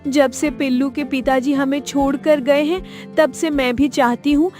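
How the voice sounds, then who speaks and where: Woman voicing a cartoon mother goat, very high voice, tender, anxious, earnest, music underneath. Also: steady tone, measured pace, a little mellow. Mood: gentle, polite. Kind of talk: Hindi cartoon story acting.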